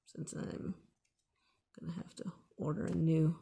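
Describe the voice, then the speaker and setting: Woman, DIY host, gravelly creak, unhurried pace, casual and unscripted. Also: sits low, level tone, dark tone. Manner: unscripted, thinking aloud while working. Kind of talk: casual hands-on repair tutorial.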